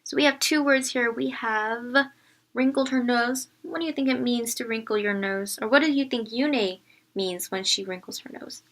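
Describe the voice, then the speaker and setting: A woman with a slightly high voice reads a picture book aloud as a teacher would. She narrates the story and stops to explain words and ask questions. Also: moderate pace, fairly bright tone.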